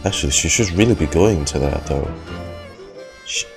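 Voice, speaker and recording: Very low-voiced blunt woman streamer. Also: lively intonation, moderate pace, gravelly. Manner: blunt, swears, wry. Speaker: streamer reacting to a game. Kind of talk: reaction commentary. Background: game music.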